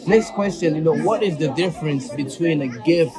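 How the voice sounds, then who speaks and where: Casting: young male church interviewer, slightly high voice, polite and deferential, background crowd chatter. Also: normal speed. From United States. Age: young adult. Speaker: interviewer, church ministry host.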